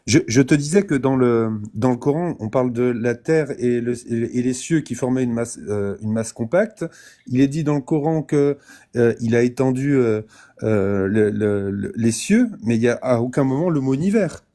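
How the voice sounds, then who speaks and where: A man's voice: medium pitch, expressive, normal speed, dark tone. A man hosting a casual live debate, who stumbles over words and presses his guest with questions. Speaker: host.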